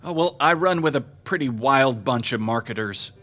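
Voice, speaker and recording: A man's voice: medium pitch, animated, moderate pace, a bit bright, faint music behind, close to an everyday voice. An actor playing an aggrieved, self-important male marketer in a comedic audio drama, with a wry conversational delivery. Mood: defiant, boastful.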